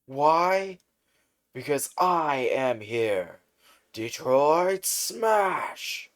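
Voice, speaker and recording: A man voicing a big, heroic male superhero who speaks in a loud, formal, declaiming way in a fan-told story. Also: fairly high pitch, big pitch swings, extremely slow, pitched above the performer's own voice, heavily acted. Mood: heroic, booming. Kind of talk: fan retelling of a hero story.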